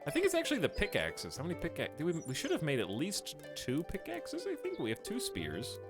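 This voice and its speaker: A man's voice: on the high side, wildly swooping pitch, fairly quick. A man streaming a building game who talks casually with his chat and thinks aloud as he plays. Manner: rambling, thinking aloud while playing. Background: game music.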